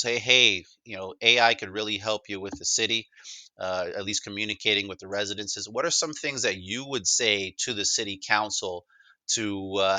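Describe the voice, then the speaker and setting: A man's voice: fairly low pitch, bright, forceful tone, no room sound. A man presenting a demo in casual conversation, upbeat and informal, often feeling his way through a sentence. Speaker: presenter demonstrating a voice assistant.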